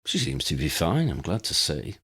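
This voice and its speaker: A man's voice: rumbling low, very animated, moderate pace, fairly bright tone, husky, heavily acted. A man voicing an earnest young wizard hero in an audiobook story, direct, alert and concerned. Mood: resolute.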